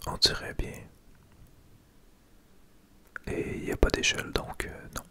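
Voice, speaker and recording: A person with a Québécois French accent whispering casual ASMR gameplay commentary in short remarks, breathy and close to the microphone. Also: unhurried. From Quebec, Canada. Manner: soft, casual, reacting to the game in short remarks. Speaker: gaming ASMR video creator.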